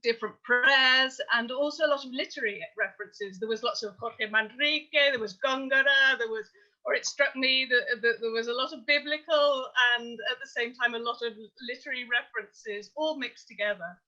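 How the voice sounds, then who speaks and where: A woman's voice: on the high side, brisk, sharp tone. A woman answering as a translator on a post-show panel, explaining her process. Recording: dull, lo-fi audio.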